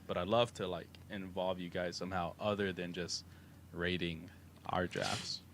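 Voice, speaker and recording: A deep, low-pitched man with a Texan accent, chatting loosely on a livestream. He rambles along with plenty of fillers. A faint hiss of noise sits under his voice. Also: normal speed. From Texas. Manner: relaxed, rambling, with fillers and laughs. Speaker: co-host of a crypto livestream. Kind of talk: casual livestream chat.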